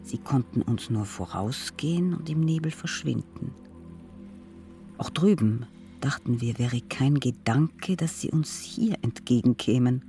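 Slow-burning creaky man reciting poetry. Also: medium pitch, warm tone, distant mic. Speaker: reader of poetic prose. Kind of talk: literary poetry recitation in a radio sound composition. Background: music.

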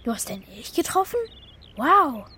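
Very high-voiced, blunt young boy. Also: very animated, unhurried, sharp tone, slight background noise, exaggerated voice. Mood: astonished. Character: young boy, bike-proud, sceptical but helpful friend. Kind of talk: children's radio play acting.

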